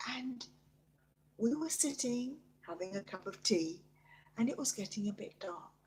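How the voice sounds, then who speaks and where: A woman improvising a bedtime story in a halting, childlike, theatrical way, with a breathy, husky voice that often repeats itself. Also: a little high, expressive, slight background noise. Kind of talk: improvised bedtime story, told in character as part of it.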